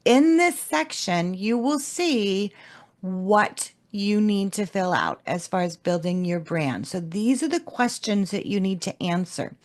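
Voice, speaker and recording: A woman co-hosting a business webinar, explaining homework questions in a calm, measured teaching manner. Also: mid-range voice. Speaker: webinar co-host, leadership coach. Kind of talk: instructional webinar talk.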